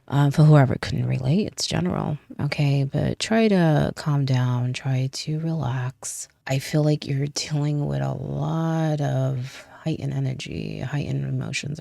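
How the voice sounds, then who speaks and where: Deep gravelly woman reading tarot. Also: warm tone, no room sound. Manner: chatty, laughs often, repeats "I feel like". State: stuffy from a cold. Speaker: tarot reader. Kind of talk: casual tarot reading to camera.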